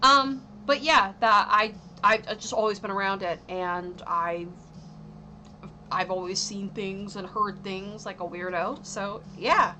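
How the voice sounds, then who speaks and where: Woman, 30s, bright voice, loose chatty tarot reader, lots of ums, faint background hiss. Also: medium pitch, slow. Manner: rambling, full of ums and likes. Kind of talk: casual livestream tarot reading.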